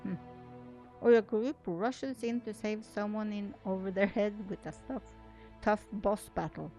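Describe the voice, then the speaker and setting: Halting non-native English woman, music behind. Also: medium pitch, steady tone, warm tone. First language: not English. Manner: halting, stumbles over words and restarts. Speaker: gaming video creator and host. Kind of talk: reading an article aloud with casual commentary.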